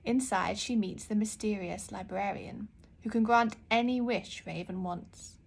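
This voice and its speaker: A woman author reading her children's book aloud in an expressive storytelling style. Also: medium pitch, a little slow, vocal fry, slightly rough.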